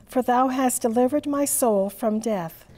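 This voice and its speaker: A woman in her sixties leads a service from the lectern of a large stained-glass church, reciting in a slow, formal cadence. Her voice sits fairly high but sounds soft and mellow, and a long reverb hangs behind her words. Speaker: priest leading the service. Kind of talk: liturgical reading.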